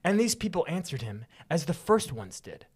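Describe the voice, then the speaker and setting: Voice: mid-range voice, very animated, normal speed, a little mellow, husky. A podcast host narrating scripture as a story, mixed with casual banter.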